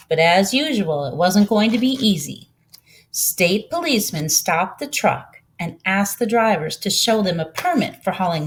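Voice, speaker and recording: Woman reading a children's storybook expressively. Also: mid-range voice. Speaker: volunteer storybook reader.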